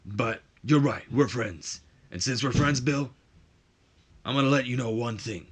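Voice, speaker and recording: A man acting out an angry, blunt tough-guy wrestler in a gruff, confrontational promo style. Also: medium pitch, a little slow. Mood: menacing.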